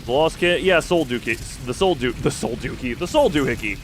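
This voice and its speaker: A man's voice: medium pitch, expressive, brisk, soft background music. A man livestreaming a video game with casual commentary and replies to chat, rambling and stammering in a self-deprecating way.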